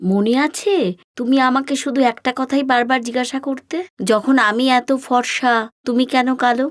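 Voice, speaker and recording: A gentle mother figure voiced by a woman in a cartoon tale. Her voice is slightly high and confiding, with a close, dry sound. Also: dry recording, natural voice. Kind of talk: cartoon story monologue.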